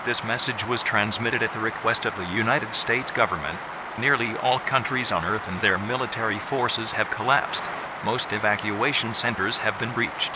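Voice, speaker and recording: Man acting low-voiced official announcer through heavy noise. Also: fairly even intonation, moderate pace, natural voice. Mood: cold, official. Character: impassive government emergency broadcast announcer. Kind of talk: formal emergency alert announcement. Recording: very noisy emergency broadcast. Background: loud noise.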